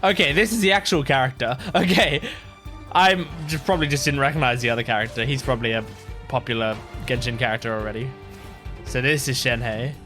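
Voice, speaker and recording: A man's voice: medium pitch, fairly quick, sharp tone, soft background music. A man hosting a reaction video, casually thinking aloud in loose, rambling commentary.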